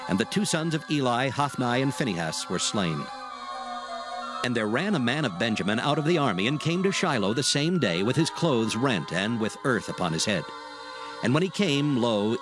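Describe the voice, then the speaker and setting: A man reads scripture as a narrator, solemn and measured. His voice sits at a middling pitch, with music playing clearly behind it. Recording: background music under the narration.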